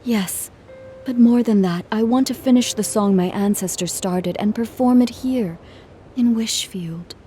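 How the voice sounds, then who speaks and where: An actress playing a gentle, earnest traveling musician in a video game, speaking politely and in measured phrases. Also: female voice, on the high side, soft background music, lightly acted. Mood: wistful, earnest. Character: gentle, earnest female traveling musician, composed but careworn. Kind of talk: video game cutscene acting.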